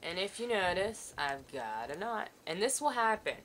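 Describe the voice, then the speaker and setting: A woman's voice: medium pitch, sing-song, normal speed, fairly bright tone, a little hiss or hum. A woman art teacher giving a patient, reassuring step-by-step sewing demonstration while working with her hands at a table. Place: indoors at a table, overhead camera on hands.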